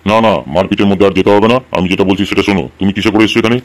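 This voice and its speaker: Man voicing a deep, fast-talking village headman. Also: little pitch movement, lightly acted. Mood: authoritative. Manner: authoritative, questioning. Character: stern, bossy middle-aged male village headman. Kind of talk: amateur comedy cartoon dub.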